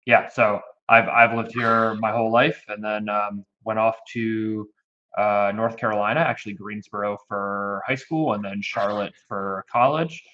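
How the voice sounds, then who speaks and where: A man's voice: fairly low pitch, fairly even intonation, a little slow, sharp tone. A man in his thirties from Connecticut, a youth baseball program director, chatting casually and rambling in an interview. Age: 30s. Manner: rambling, with frequent restarts and fillers. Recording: dull lo-fi audio.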